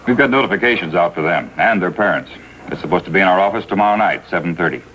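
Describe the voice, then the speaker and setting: Brisk American police officer, factual and exasperated. Deep, quick delivery, 1950s crime drama. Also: male voice, fairly bright tone. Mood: weary, factual. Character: businesslike, exasperated male juvenile police officer. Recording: noisy vintage TV soundtrack.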